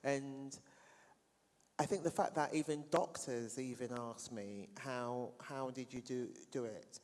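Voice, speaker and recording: A man with a mid-pitched voice, speaking earnestly and haltingly as a campaigner on a stage. He stumbles now and then, and the long reverb of a large hall carries his words. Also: a little mellow. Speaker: campaigner on a panel.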